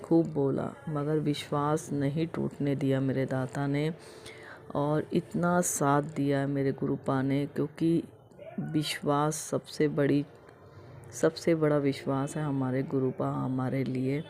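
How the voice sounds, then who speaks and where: A middle-aged woman with a hoarse, gravelly voice gives an emotional devotional testimony of gratitude. Her tone is dark and mellow, and she speaks with little rise and fall in pitch, repeating herself like a chant. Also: little pitch movement, takes it slowly, slight background noise. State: hoarse throat.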